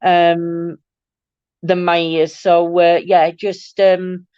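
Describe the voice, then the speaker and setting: A middle-aged woman hosts a casual livestream. Her voice is croaky and a bit nasal, and she rambles affectionately to her viewers. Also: fairly low pitch, little pitch movement, extremely slow, dark tone, dry recording, dull audio. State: croaky, nasal from hay fever. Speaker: livestream host. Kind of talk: casual live-stream chat with viewers.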